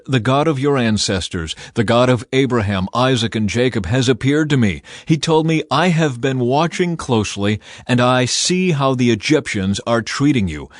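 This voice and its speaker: A man voicing a solemn, commanding God in an authoritative, measured scripture reading. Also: mid-range voice, dry recording, clean studio sound, lightly acted. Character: God, solemn commanding male deity speaking from fire.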